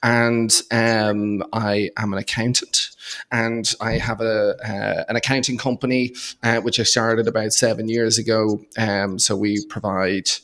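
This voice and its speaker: A man's voice: on the low side, level tone, unhurried, fairly bright tone. A man with an Irish accent speaking conversationally as an interview guest, in a hesitant way with repeated words. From Dublin, Ireland. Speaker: podcast guest, accountant and entrepreneur.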